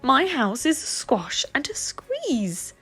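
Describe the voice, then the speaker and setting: A woman voicing a fretful, grumbling little old lady from a children's storybook, pleading and wailing in a drawn-out, dramatic way. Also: high voice, huge pitch swings, bright, forceful tone, slightly rough, soft background music, voice pushed up, full character voice. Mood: exasperated wail.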